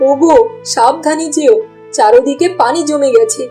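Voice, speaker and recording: A woman voicing a gentle, devout farmer's wife and mother in a cartoon, caring and pleading. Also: high voice, moderate pace, soft background music, close to an everyday voice. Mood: reassuring. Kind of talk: cartoon voice acting.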